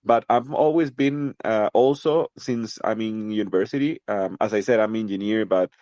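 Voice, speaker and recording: Costa Rican man, low dry voice. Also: normal speed, darkish tone, no room sound. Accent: Costa Rican Spanish. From Costa Rica. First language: Spanish. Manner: thoughtful, with frequent fillers and restarts. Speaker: guest, crypto project representative. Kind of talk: casual interview conversation.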